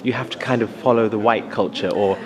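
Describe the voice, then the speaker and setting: A man who teaches the course, asking an interviewee a measured, thoughtful question. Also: on the low side, steady tone. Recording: chatter of a crowd behind.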